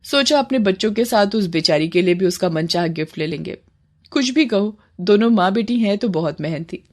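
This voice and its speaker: A woman playing a caring, soft-hearted mother in a cartoon story, speaking in a soothing, sincere way. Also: mid-range voice, lively intonation, moderate pace, lightly acted. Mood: kindly. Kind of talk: Hindi cartoon moral story acting.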